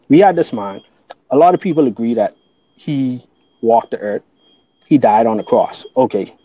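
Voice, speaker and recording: A man from the Bahamas talks through his beliefs in a relaxed podcast chat, earnest and thorough, circling back and restarting as he thinks. His voice is dark and mellow. The recording is a little dull and lo-fi. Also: mid-range voice, no room sound. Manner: earnest, explains at length with restarts. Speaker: guest. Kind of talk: casual podcast conversation.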